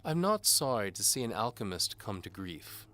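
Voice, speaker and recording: Man acting a high-pitched, pompous, menacing bishop. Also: big pitch swings, normal speed, pushed and bright. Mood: smug, menacing. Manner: formal, condescending. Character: pompous, menacing bishop interrogating a prisoner, patronizing. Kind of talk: audio drama character acting.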